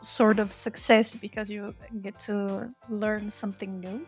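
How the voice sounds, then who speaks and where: A woman's voice: mid-range voice, level tone, measured pace, husky. Woman interviewer over faint music. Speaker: interviewer, host. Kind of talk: podcast interview questions.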